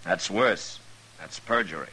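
An actor playing a stern, steady frontier marshal with an American accent in a 1950s radio western, terse and firm. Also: male voice, low-pitched, unhurried, low-quality recording. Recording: hissy vintage radio recording.

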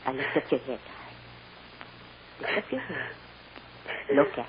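A woman's voice: a bass voice, normal speed. A woman playing a loving, anguished mother who tenderly soothes her child, in old radio melodrama style. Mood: gentle, coaxing. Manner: emotional, tender, dramatic. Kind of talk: 1940s-50s radio melodrama acting. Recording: muffled, noisy old radio recording.

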